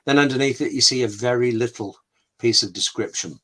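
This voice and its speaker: A man's voice: mid-range voice, fairly quick, fairly bright tone. A man being interviewed as a scriptwriter on an online call, explaining with examples and anecdotes in a candid way, often interrupting himself. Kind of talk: explanatory webinar chat with examples.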